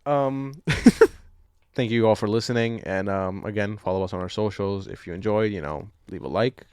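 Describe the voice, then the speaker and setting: A man's voice: on the low side, normal speed, darkish tone, smooth, no echo, studio quality. Foul-mouthed man co-hosting a casual podcast. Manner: profane, hesitant stop-start phrasing, chuckles often. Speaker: podcast co-host. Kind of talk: casual banter and comic ranting.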